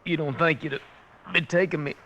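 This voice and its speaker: A man's voice: a little high, fairly even intonation, normal speed, bright, forceful tone. An actor playing a wounded, repentant, wry outlaw, his voice weak and fading, in a 1950s Western radio drama. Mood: weak, regretful. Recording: faintly noisy old radio recording.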